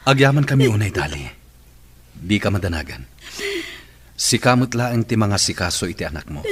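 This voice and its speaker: A man's voice: fairly low pitch, lively intonation, normal speed, husky. A man with an Ilocano Filipino accent playing a stern middle-aged father in a radio drama, commanding and emphatic. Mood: concerned, reassuring.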